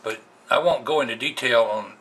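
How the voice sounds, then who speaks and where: A man's voice: medium pitch, a little fast, fairly bright tone. An American man giving a casual, plainspoken explanation as a hobbyist vlogger, recorded indoors on a camera's built-in microphone. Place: indoors, at a computer. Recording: noisy amateur camera recording.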